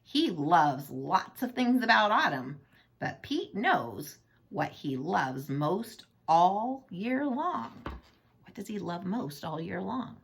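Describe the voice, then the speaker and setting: A woman tells a picture-book story to children at an unhurried pace. Her voice is husky and swings widely in pitch, and she is playful, often breaking off for chatty asides. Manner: playful, expressive, asks the listener questions.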